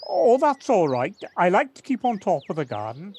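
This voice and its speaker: A woman's voice: medium pitch, sing-song, moderate pace, dark tone, husky. A woman playing a modest, dutiful male gardener, hesitant and politely evasive, in an amateur audio drama. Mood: polite, reticent. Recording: faint noise, home-recorded on personal device.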